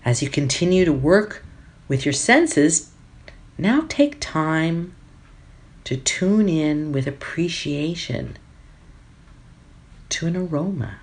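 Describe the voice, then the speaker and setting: A woman leading a guided meditation as a teacher, giving gentle instructions phrase by phrase with long pauses. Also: low-pitched, lively intonation.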